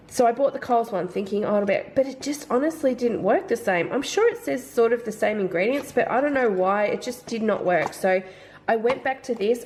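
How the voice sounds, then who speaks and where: A woman's voice: mid-range voice, a little mellow, a little hiss or hum. An Australian woman in her thirties, a mum vlogger chatting casually through a product haul, sounding slightly nasal from hay fever and thinking aloud about prices. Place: home.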